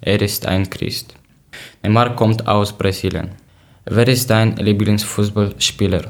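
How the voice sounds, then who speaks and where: Tiefer Mann aus der Ukraine. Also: male voice, fairly low pitch, fairly even intonation. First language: not German. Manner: plain, measured reading with short sentences. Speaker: podcast co-host. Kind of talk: reading prepared talk in learner German.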